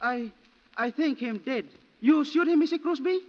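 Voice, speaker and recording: An actor playing a nervous, subservient houseboy in a 1940s radio melodrama, speaking halting broken English. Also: male voice, very high voice. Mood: hesitant. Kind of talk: 1940s radio drama acting. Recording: dull, slightly noisy 1940s radio.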